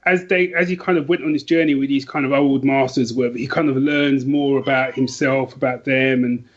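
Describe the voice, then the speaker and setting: A man's voice: mid-range voice, steady tone, brisk, muffled recording. A man chatting casually as an interview guest, self-deprecating and prone to rambling asides. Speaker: podcast guest, author.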